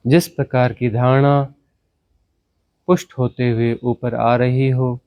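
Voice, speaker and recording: A man teaching guided meditation, giving instructions in short commanding phrases with pauses and mixing in English words. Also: medium pitch, level tone, lo-fi sound. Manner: mixes English words into Hindi.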